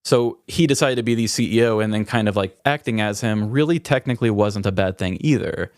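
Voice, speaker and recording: A man's voice: fairly low pitch, brisk, darkish tone, no echo, clean studio sound. An American man co-hosting a comedy podcast, telling stories in a casual, rambling, conversational way. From US Midwest. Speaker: podcast co-host.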